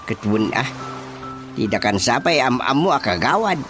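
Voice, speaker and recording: A man's voice: medium pitch, sing-song, moderate pace, music in the background, natural voice. A man with an Ilocano accent playing a folksy, talkative village chairman in a radio drama comedy. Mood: chatty.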